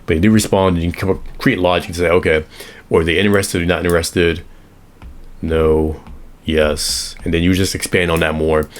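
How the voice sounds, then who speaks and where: A young man with a deep, low voice, hoarse from a recent illness. He walks through automation setups in a loose, rambling way, stopping to correct himself as he sketches. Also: little pitch movement, brisk. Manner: rambling, self-correcting, drawing on an iPad. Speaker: automation consultant. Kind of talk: casual screen-share tutorial.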